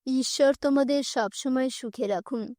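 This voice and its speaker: A woman's voice: sits high, moderate pace, warm tone, no room sound, clean studio sound, pitched below the performer's own voice, lightly acted. A woman voicing a loving, dying mother in a fairy tale, solemn, tender and resigned.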